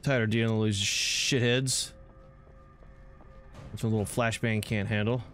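A man's voice: on the low side, fairly even intonation, a little slow. A man giving laid-back, casual gameplay commentary, chatting his way through the action and swearing now and then. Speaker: gameplay video host. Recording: game music behind the voice.

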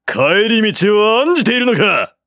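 A man's voice: high-pitched, very animated, a little slow, a bit bright, no echo, clean studio sound, voice pushed up, heavily acted. A man voicing a haughty, mocking warrior general in an audiobook, goading his foe in declamatory speech. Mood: scornful. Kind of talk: dramatic audiobook character reading.

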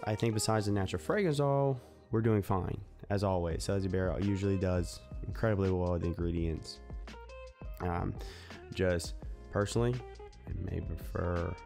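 A man's voice: fairly low pitch, darkish tone. A young man in casual talk to camera, reviewing a soap in an easygoing, informal way with lots of fillers, recorded in a small room on a desk mic. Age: young adult. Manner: chatty, informal, many fillers and asides. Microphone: desk microphone in front.

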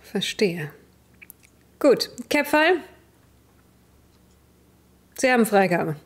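Woman acting as female military commander, stern, curt, formal, probing, medium pitch, tabletop role-play. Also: sing-song, very slow, full character voice. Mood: suspicious.

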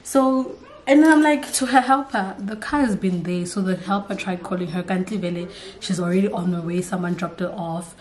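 A woman's voice: on the low side, normal speed, a little mellow. Chatty woman vlogging. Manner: chatty storytelling, switching into Setswana phrases. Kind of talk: casual chat to camera. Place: home kitchen and living room indoors. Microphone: camera on tripod nearby.

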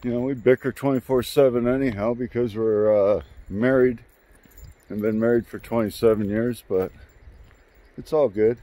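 A man's voice: mid-range voice, steady tone, moderate pace, mellow. Folksy older man vlogging outdoors. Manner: chatty, folksy, rambling asides. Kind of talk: casual vlog narration. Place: outdoors at a mountain campsite by a creek. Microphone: phone camera at arm's length. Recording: faint outdoor noise of a stream. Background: running creek water.

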